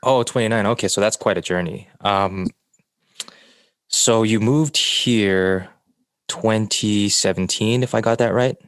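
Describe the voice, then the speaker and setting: A man from the Philippines, a podcast host asking questions in a measured, curious way. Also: lowish voice, no echo. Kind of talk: casual interview.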